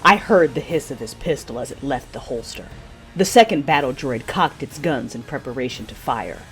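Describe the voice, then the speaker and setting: A woman playing a passionate, fierce but wounded Sith warrior, narrating her own story in an intimate, breathy audio-drama style. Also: extremely low pitch, expressive, moderate pace, soft background music, low-quality recording. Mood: fierce, driven. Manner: intimate, breathy, intense delivery.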